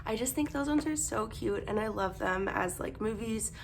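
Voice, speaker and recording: A young woman vlogger chatting casually through an unboxing, bubbly and gushing, her voice hoarse as she loses it. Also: fairly high pitch, animated, moderate pace, slight background noise.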